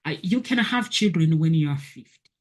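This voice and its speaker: A woman's voice: low voice, expressive, moderate pace. A woman hosting a motivational video, talking earnestly to her viewers and repeating her key phrases.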